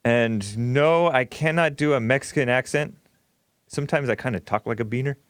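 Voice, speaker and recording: Rambling man with a smooth, dry voice. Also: mid-range voice, moderate pace, no room sound. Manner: rambling, with frequent fillers and self-corrections. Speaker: co-host of a stream. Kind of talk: casual monologue.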